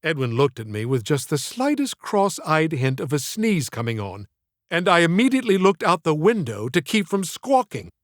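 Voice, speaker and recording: A man reading his own literary audiobook in a theatrical, measured storytelling manner. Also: fairly high pitch, very animated, no room sound, clean studio sound. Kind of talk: scripted literary narration.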